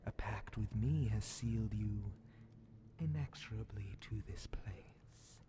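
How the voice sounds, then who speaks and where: A man voicing a scheming demon Grand Vizier in a whispered ASMR fantasy roleplay, sly, menacing and condescending, with breathy, theatrical, drawn-out phrasing. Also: dark tone, slight background noise. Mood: ominous, persuasive. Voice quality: breathy whispering mixed with low gravelly creak.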